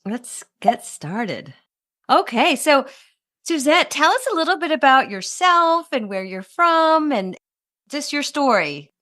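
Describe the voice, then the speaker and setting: A woman's voice: very animated, slow pace, sharp tone, no room sound, studio quality. A middle-aged woman hosting a podcast, reading a guest introduction and then chatting with enthusiasm. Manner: enthusiastic, with sudden high lifts in pitch. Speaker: podcast host.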